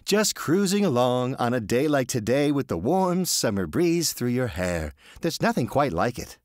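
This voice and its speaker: A man voicing a timid, rule-bound male car salesman who pleads and then yells in panic. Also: sing-song, normal speed, no echo, clean studio sound, close to an everyday voice. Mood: worried. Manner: pleading, high and strained, rising to yells.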